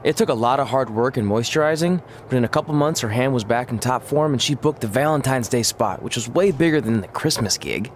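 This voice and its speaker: A man playing a good-natured, jokey pro wrestler in a video game cutscene, talking casually with deadpan humor. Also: medium pitch, fairly quick, hardly any pauses, a little hiss or hum, close to an everyday voice. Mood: easygoing, joking.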